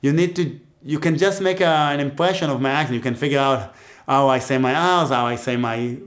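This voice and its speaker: A man's voice: medium pitch, a little fast, a bit bright. A man with an Israeli accent teaching music, talking casually and joking as he gives advice. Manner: chatty and joking, with many asides. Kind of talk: casual teaching talk to camera.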